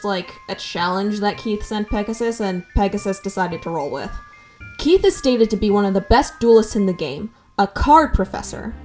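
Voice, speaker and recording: A woman's voice: a little high, darkish tone. A woman hosting a scripted video essay with wry, conversational asides, over background music.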